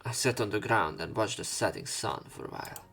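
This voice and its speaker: A man's voice: lowish voice, a little fast, gravelly, a bit bright, breathy edge, soft background music. A man doing a let's play, reading a visual novel's narration and dialogue aloud.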